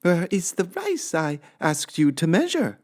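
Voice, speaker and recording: An easily shocked lady of the house played by a man exclaims in a high, shrill storybook voice. The words are stretched out slowly and swoop dramatically, full of exasperation. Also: huge pitch swings, full character voice. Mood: exasperated.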